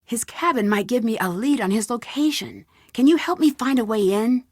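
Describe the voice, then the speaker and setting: A woman's voice: a little high, expressive, moderate pace, a little mellow, no room sound, clean studio sound. An actress playing a friendly, inquisitive young woman detective in a mystery video game, politely asking questions. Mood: curious, polite. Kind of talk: scripted video game dialogue.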